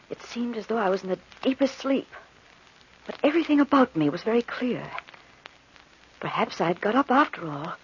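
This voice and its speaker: A woman's voice: fairly high pitch, a bit bright, breathy edge. An actress playing an anxious, devoted young woman full of dread, earnest and melodramatic in old radio horror style. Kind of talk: old-time radio horror acting and narration. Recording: muffled old radio recording.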